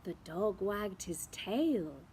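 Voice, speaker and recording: A woman storyteller reading a picture book aloud in an expressive, theatrical way, drawing out words. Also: mid-range voice, a little hiss or hum. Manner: theatrical, with drawn-out words and sound effects. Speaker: children's storyteller.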